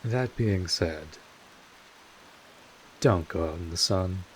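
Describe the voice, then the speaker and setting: A man playing a wry, laid-back vampire mentor in an intimate audio drama, casual and sardonic, with drawn-out pauses, protective and a little world-weary. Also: deep voice, a little hiss or hum, rough recording. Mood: amused, teasing.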